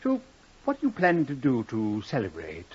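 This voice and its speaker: A genteel, secretive doctor in an old radio play, coy and courteous. His pitch is medium and very animated. The recording sounds muffled. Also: normal speed, husky. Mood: affable, generous. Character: polite, secretive gentleman doctor, a murderer. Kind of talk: old-time radio drama acting.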